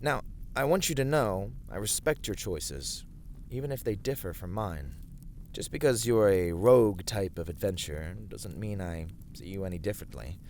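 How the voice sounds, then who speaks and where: A man's voice: deep voice, lively intonation, normal speed, darkish tone, slight background noise. A man playing an earnest, courteous fantasy adventurer in an ASMR roleplay, speaking in formal, thoughtful, slightly hesitant phrases. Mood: warm, reassuring.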